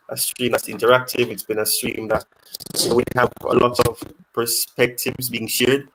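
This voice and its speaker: A man's voice: medium pitch, a bit bright, poor audio. A man hosting a casual football fan live stream, reading chat questions aloud and rambling with many restarts.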